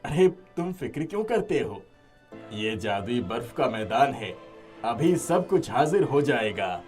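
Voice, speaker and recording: A man acts out an old village father for a cartoon moral tale. He is kindly, firm and earnest, speaking slowly at a middle pitch with music behind him. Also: very animated, a little mellow. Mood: generous, helpful. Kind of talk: Hindi moral-story cartoon acting.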